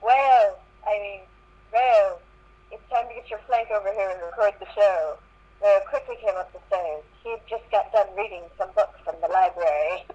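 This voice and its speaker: A woman with a medium-pitched voice reads a story aloud in an amateur way, tripping over words and starting again. The sound is dull and lo-fi. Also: normal speed, warm tone. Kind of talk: amateur group reading of fan fiction. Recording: muffled lo-fi voice-chat audio.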